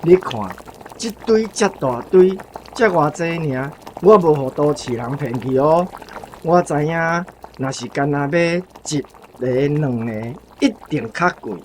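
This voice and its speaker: A man's voice: fairly high pitch, a little slow, a little hiss or hum, natural voice. An actor playing a frugal, folksy old grandfather with a Taiwanese accent in a radio drama, speaking in plain, homely words. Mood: proud, matter-of-fact. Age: older.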